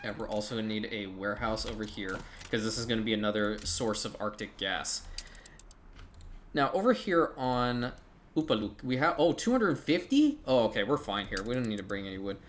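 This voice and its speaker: A man's voice: on the low side, lively intonation, fairly quick, a little hiss or hum. A man giving casual, rambling commentary while playing a strategy game, thinking aloud and swearing now and then.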